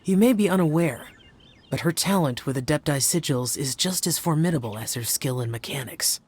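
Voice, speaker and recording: A man's voice: fairly high pitch, fairly quick, darkish tone, breathy edge. A man voicing a stern, reserved guardian spirit who speaks formally and measuredly. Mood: solemn. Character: aloof, stern young male guardian spirit.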